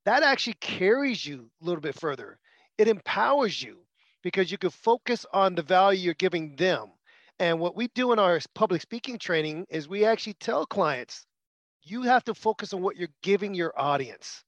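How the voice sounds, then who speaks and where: A man's voice: sits high, big pitch swings, normal speed, a bit bright, no echo, muffled recording. A man hosting a podcast interview, talking with enthusiasm and strong emphasis. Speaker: podcast host.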